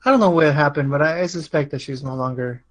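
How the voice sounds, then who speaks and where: A man's voice: fairly high pitch, quick pace. A man talks casually as a guest on a live show. He feels his way through what he says, thinking aloud. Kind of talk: casual chat.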